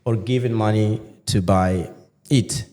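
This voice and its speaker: A man's voice: on the low side, fairly even intonation, measured pace, dark tone. A man hosting a casual interview podcast, playful and chatty, with many fillers and restarts. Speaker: podcast host. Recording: echoey room with long reverb.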